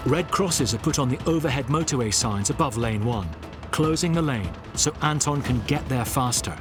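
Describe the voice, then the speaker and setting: A man narrating a police documentary in a measured, scripted voice-over. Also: medium pitch, expressive, music in the background.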